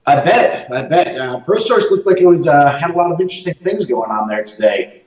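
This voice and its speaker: A man speaking as a business-owner guest from his office on a video call, relaxed and hesitant with many ums. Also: fairly high pitch, fairly quick, reverberant, distant mic, highs cut off. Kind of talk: remote interview conversation.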